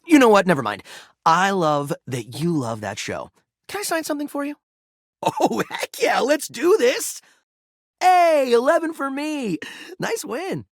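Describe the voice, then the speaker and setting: Goofy, hugely animated game developer. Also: male voice, very high-pitched, a little slow, no echo, studio quality, exaggerated voice. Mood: amused. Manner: playful, slangy. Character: goofy, good-natured male voice actor and game developer. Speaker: actor and game creator character. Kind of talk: casual video game voice acting.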